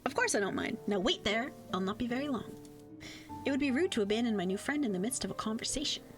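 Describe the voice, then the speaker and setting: A woman voicing a courteous, gentle mermaid from a visual novel, with formal, elegant phrasing. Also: a little high, animated, quick pace, natural voice. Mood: polite. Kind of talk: visual novel read-aloud. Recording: music playing behind the voice.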